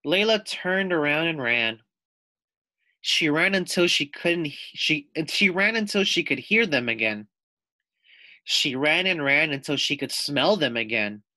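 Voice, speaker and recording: A man reading a picture book aloud to young children as their teacher, deliberate and explanatory. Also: fairly high pitch, expressive, bright, forceful tone, dry recording. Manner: deliberate, explanatory, asks the listeners questions.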